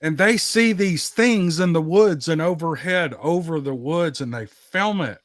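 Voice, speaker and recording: A man's voice: a little high, measured pace, no echo. A man telling anecdotes in casual podcast chat, folksy and given to repeating his phrases for emphasis.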